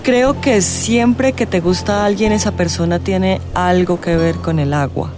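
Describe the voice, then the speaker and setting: An actor playing an eccentric, probing astrologer in a radio drama, speaking in dramatic, drawn-out pronouncements. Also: mid-range voice, lightly acted. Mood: confident, analytical. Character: eccentric astrologer, theatrical, teasing, probing, solemn. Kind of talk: theatrical radio drama acting. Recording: music and vehicle sounds behind. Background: music, real outdoor soundscapes.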